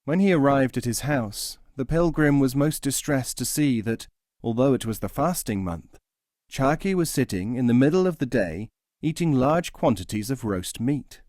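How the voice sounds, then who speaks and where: A man reads traditional teaching tales as an audiobook narrator. His voice sits at a middle pitch, and the recording is dry with very little room sound. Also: lively intonation, normal speed, darkish tone, no echo.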